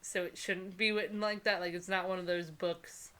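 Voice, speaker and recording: A woman's voice: lowish voice, fairly even intonation, moderate pace, a bit bright. A woman co-hosting a comedy book reading, giggly and sarcastic, moving between casual banter and mock dramatic reading.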